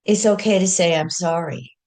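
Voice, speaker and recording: A woman's voice: lowish voice, moderate pace. A middle-aged woman teaching astrology in an earnest, folksy way with self-deprecating asides. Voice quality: loud speaking voice. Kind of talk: teaching astrology talk with slides.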